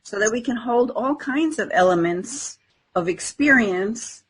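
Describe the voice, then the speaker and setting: A woman co-hosting a casual, conversational spiritual discussion podcast, with a slightly husky voice. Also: on the high side, expressive, a little slow, a little hiss or hum, poor audio. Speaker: podcast co-host.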